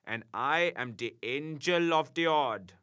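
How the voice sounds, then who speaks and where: A man's voice: a little high, animated, slow pace, bright, forceful tone, clear, resonant tone, exaggerated voice. A man voicing a pompous, quarrelsome male angel who speaks thick, broken English with a German accent. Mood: indignant. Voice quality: thick broken English, rolling mock-formal phrasing.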